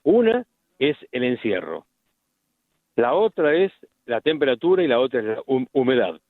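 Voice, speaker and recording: A middle-aged man with an Argentine accent, a medical specialist explaining things deliberately in a radio interview, with frequent restarts. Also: mid-range voice, sing-song, dry recording. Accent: Argentine Spanish. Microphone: phone line. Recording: tinny phone line, dry.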